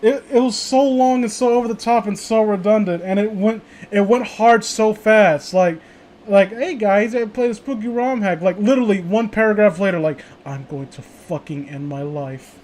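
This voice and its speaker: High-pitched stammering man, exasperated. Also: normal speed, darkish tone. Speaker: streamer reading creepypasta with friends. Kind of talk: casual commentary and banter on a read-aloud.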